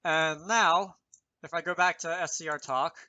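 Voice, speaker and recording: A man's voice: fairly high pitch, fairly even intonation, measured pace, bright, forceful tone, dry recording. A man presenting an informal programming tutorial, thinking aloud with self-deprecating asides as he explains. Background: keyboard typing and mouse clicks.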